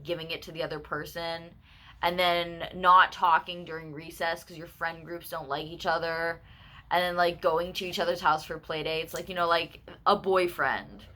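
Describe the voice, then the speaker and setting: A woman in her twenties telling a personal story in a casual, candid vlog, full of quick asides and self-corrections. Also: on the low side, sharp tone. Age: 20s. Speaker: vlogger.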